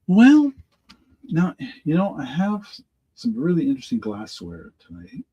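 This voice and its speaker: A man hosting a live online auction in a rambling, halting way, full of restarts and fillers, hoarse from allergies. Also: a little high, wildly swooping pitch, mellow, low-quality recording. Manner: halting, lots of ums and restarts.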